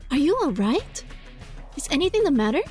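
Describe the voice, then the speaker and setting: A woman's voice: high voice, fairly quick. A woman hosting a gaming video, reading a visual novel aloud expressively and adding casual, giggly reactions. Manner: expressive, dramatized read-aloud with giggly asides. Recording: music behind the voice. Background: game music.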